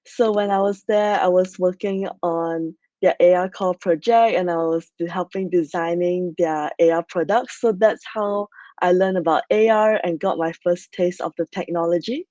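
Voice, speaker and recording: A young woman from Malaysia presents at an online conference in a loose, chatty way, leaning on "so yeah" and "like". Her voice sits a little low. The audio is dry and a bit muffled, as from a computer mic on a video call. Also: fairly even intonation, normal speed, dark tone, no room sound. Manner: chatty, many fillers like "like" and "so yeah". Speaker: conference speaker.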